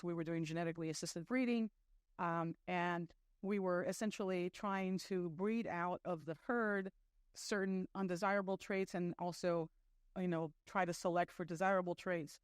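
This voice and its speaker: Smooth, chatty Brazilian-born woman speaker. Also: lowish voice, no echo, clean studio sound. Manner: chatty, many fillers, self-deprecating asides. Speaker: conference speaker. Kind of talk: conference talk.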